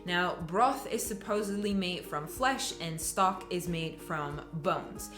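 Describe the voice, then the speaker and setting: Young woman, Czech accent, lowish voice, relaxed chatty cooking narration. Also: slow, over music. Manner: relaxed, chatty explaining with light laughs. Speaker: home cooking vlogger. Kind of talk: step-by-step cooking voice-over.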